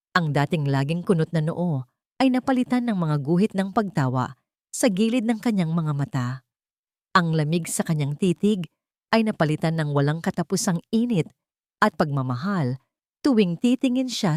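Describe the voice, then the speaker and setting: A woman with a slightly low voice reads a romantic tale as a storyteller. Her delivery is sentimental and dramatic, and the sound is dry and close. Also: lively intonation, normal speed, darkish tone, no room sound. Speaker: story narrator. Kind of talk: scripted romantic story narration.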